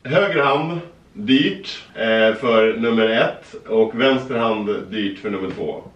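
A man's voice: lowish voice, distant mic, highs cut off. A man in his thirties hosting a family taste test at a table indoors, teasing and quizzing the children in casual chat. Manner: playful, teasing quizmaster. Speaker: family vlog host running a taste test. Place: bright indoor room at a dining table. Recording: echoey room, from the mic.

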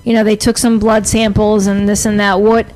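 A woman's voice: medium pitch, little pitch movement, normal speed, a little mellow, poor audio. A young woman co-hosting a casual radio interview, asking tentative, conciliatory questions. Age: young adult. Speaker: radio show co-host. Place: indoor room around a table. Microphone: handheld microphone, close.

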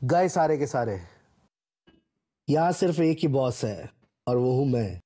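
A man with an Indian accent and a husky voice, performing short game callouts and catchphrases. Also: medium pitch, animated, unhurried, warm tone. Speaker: game voice-pack voice.

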